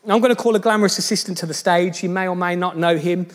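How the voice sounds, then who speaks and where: A man's voice: high voice, fairly even intonation, quick pace. A man preaching to his church in a chatty, joking, storytelling way. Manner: chatty, jokey storytelling, addressing the church directly. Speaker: pastor. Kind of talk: sermon.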